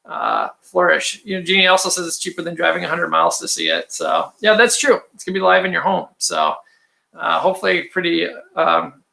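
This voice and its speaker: A man with a raspy voice, hosting a casual livestream, chatty and rambling with frequent ums and throat clearing. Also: high voice, animated, fairly quick, sharp tone. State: raspy from allergies or stress. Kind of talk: casual livestream chat reading viewer comments.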